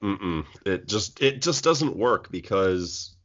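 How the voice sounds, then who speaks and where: A man's voice: deep voice, animated, takes it slowly, fairly bright tone, dull audio. A man co-hosting a casual podcast chat about movies, rambling with many restarts. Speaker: podcast co-host.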